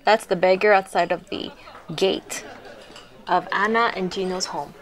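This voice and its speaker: A woman reading a storybook aloud to children in an expressive, explanatory manner. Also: mid-range voice, measured pace.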